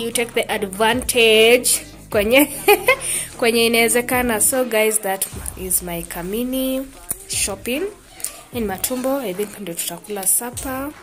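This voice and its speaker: Brief: young Kenyan woman, home vlogger, mid pitch, talkative and light, phone mic, music underneath. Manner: chatty, laughs often, switches languages. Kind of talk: casual vlog chat mixing Swahili and English. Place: small apartment room indoors. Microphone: phone camera at arm's length.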